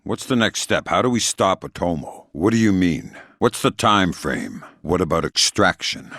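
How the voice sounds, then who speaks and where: Game spy operative: middle-aged, gruff, very low register, sardonic and clipped, dry studio sound. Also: male voice, moderate pace, no room sound, heavily acted. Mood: businesslike, dry. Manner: terse, dry, deadpan sarcasm. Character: hardened, sardonic male covert spy operative. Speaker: video game voice actor. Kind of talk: video game character dialogue.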